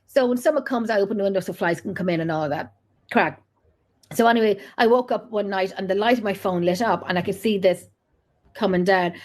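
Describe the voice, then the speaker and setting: A woman's voice: medium pitch, fairly quick, darkish tone. An Irish woman hosting a chat show, talking loosely and laughing often. She drops in the odd mild swear word and tells stories with a rambling, friendly ease. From Ireland. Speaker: podcast host. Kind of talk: casual chat.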